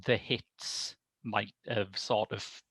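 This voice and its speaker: A man's voice: fairly low pitch, fairly even intonation, slow pace, fairly bright tone, breathy edge, dry recording. A British man with a wry manner hosting a casual film discussion from home on a headset. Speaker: podcast host, film reviewer. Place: home room.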